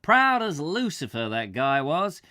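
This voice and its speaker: A man's voice: a little high, big pitch swings, a little slow, bright, forceful tone, no room sound, studio quality, full character voice. A man voicing a chatty middle-aged American tourist with an American accent, slangy and enthusiastic.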